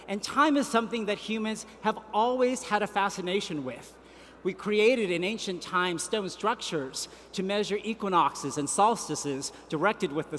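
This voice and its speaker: A man giving a prepared keynote speech on a conference stage, telling a story at a measured pace with deliberate pauses. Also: sits high, a bit bright, a little hiss or hum. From Vietnam. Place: large conference hall. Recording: reverberant hall, faint ambience.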